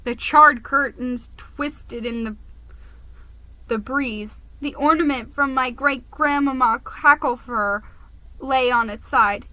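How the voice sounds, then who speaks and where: Female storybook reader, unhurried and close-sounding in a dry space, theatrical and emphatic. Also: high voice, sharp tone, no echo, low-quality recording. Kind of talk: dramatic reading of a children's story.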